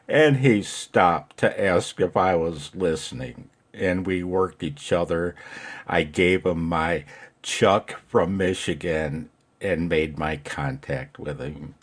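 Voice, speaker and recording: A man's voice: sits low, slow, breathy edge. A man who is an amateur radio operator and vlogger, talking casually with playful, jokey grumbling. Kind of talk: casual chat and radio contest calls.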